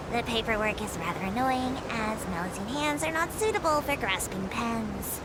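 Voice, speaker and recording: A woman voicing a small, polite female creature who works as a tour guide in a video game, with formal, courteous announcements. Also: fairly high pitch. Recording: loud ambient vehicle noise behind.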